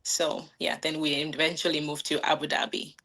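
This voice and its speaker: Woman in her 30s from nigeria, bit low voice, easygoing, lots of ums and likes. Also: completely flat delivery, moderate pace, sharp tone. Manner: friendly, laughs often, many "um"s and "like"s. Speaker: guest, new business owner. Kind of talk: casual interview answers.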